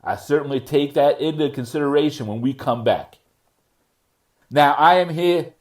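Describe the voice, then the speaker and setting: A man playing a stern but fair judge in a readers theater courtroom, speaking formally and admonishing in a measured way. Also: a little high, darkish tone, lightly acted.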